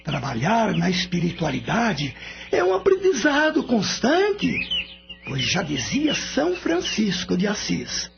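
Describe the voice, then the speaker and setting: A man's voice: sits high, wildly swooping pitch, vocal fry, heavily acted. An actor playing a serene older male spirit with a Brazilian accent, patiently explaining in a radio drama. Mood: serene, instructive. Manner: didactic, measured explaining. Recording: dull lo-fi radio recording with music. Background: music.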